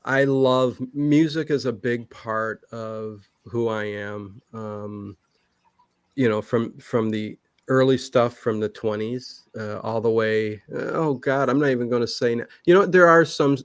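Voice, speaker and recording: A man's voice: mid-range voice, measured pace, smooth, no echo. A man chatting casually in an interview, rambling and emphatic, often restarting his sentences halfway through. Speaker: livestream channel host being interviewed.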